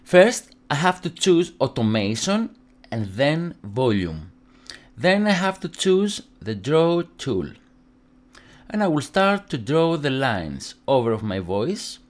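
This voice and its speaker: A young man from Greece with a Greek accent presents an instructional tutorial in halting, non-native English phrasing. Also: mid-range voice, sing-song. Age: young adult.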